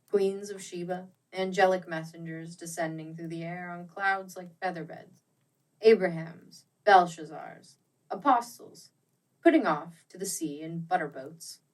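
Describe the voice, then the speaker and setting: A woman narrating a classic ghost story as an audiobook, with theatrical, expressive and deliberate phrasing. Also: fairly low pitch, recorded from a distance, poor audio. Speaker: audiobook reader.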